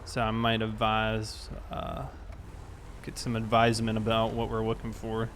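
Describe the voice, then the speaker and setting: A man's voice: fairly low pitch, monotone, unhurried. An American man chatting casually on a livestream while roleplaying as a police officer, in a laid-back way. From South Carolina, United States. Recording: clear background noise, vehicle sounds.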